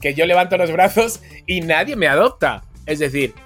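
A man's voice: on the high side, expressive, moderate pace, fairly bright tone, soft background music. A man with an accent from Spain co-hosting a podcast with playful, casual comic banter, often repeating words for emphasis. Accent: Spanish, from Spain. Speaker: podcast co-host.

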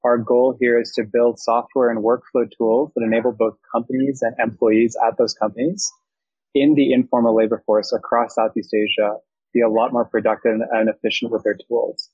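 Young man, founder guest, husky voice, flat delivery, dry muffled sound. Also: medium pitch, normal speed, dry recording. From Thailand. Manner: fluent, reflective, business jargon. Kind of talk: interview answers.

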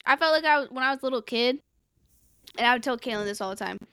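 A woman co-hosting a casual anime recap chat, expressive and blunt, swearing freely in slangy talk. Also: on the high side, fast talker, clean tone, dry recording, studio quality. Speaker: podcast co-host recapping an anime.

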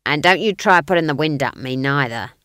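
A big, scornful prison guard, played by a woman narrator, low in pitch and blustering. Each phrase lands with slow, surly emphasis, storybook fashion, in a dry close recording. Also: expressive, a bit bright, clear, resonant tone, no echo. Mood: blustering, threatening.

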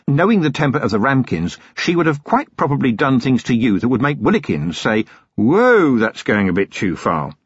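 A man voicing a weary, gruff city police commander who talks in a slow, deliberate, menacing way. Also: mid-range voice, no echo, highs cut off.

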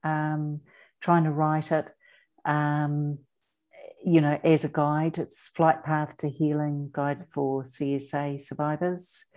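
Low-voiced New Zealand woman, deliberate and emphatic. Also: flat delivery, darkish tone. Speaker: interview guest, author and abuse survivor advocate. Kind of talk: earnest conversational interview.

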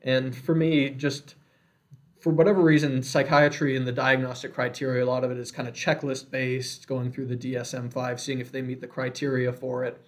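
A man's voice: mid-range voice, little pitch movement, normal speed. A young man in his twenties, a medical student, talking earnestly and reflectively in a casual discussion, giving advice from his own experience.

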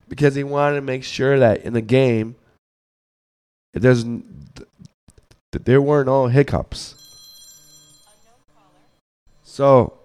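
A hoarse man, getting over the flu, hosts a podcast and delivers a blunt, scolding sports rant, often repeating words as he thinks. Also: medium pitch, a little mellow. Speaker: podcast host.

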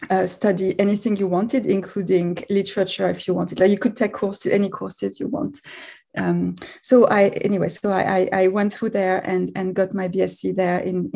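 A middle-aged woman from France, a physics professor speaking as a guest in a casual podcast interview, talking in a halting way with frequent restarts and self-corrections. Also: medium pitch, vocal fry, dark tone.

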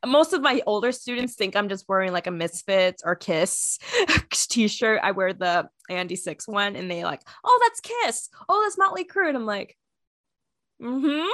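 A woman's voice: mid-range voice, lively intonation, a little slow, fairly bright tone, no echo, clean studio sound. Stuffy-voiced chatty woman. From Washington State. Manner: chatty, rambling, laughs often. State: stuffy from a cold. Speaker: podcast co-host; teacher. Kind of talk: casual chat.

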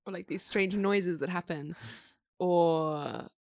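A woman's voice: lowish voice, slow pace, darkish tone, dry recording, clean studio sound. A young woman from Australia, speaking as a podcast guest in a reflective, thoughtful way and using frequent "like" fillers. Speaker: interview guest. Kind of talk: casual conversation.

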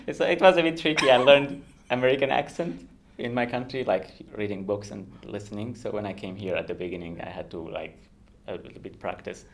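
A man in his thirties from Iran with an Iranian accent, a native Kurdish speaker, talking earnestly and haltingly as a podcast guest who laughs often. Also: fairly low pitch, a little hiss or hum. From Iran, Kurdistan region. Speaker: guest researcher. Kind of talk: podcast interview.

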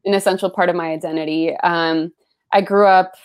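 A young adult woman whose first language is Spanish, from the Bay Area, talking in a casual interview. She fills her speech with "like" and "um" and grows animated when recalling stories. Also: lowish voice, a little fast. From San Francisco Bay Area, US. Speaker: interview guest, writer.

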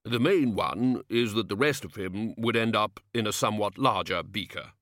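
A man's voice: on the low side, normal speed, fairly bright tone, dry recording, clean studio sound. A man doing a fussy pedantic wizard scholar. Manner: precise, lecturing.

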